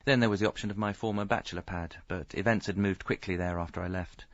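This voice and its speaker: An actor playing a rueful, self-pitying middle-aged husband, narrating his misfortunes deadpan in a radio comedy. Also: deep voice, fast, no room sound, lightly acted. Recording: slightly dull audio, faint music.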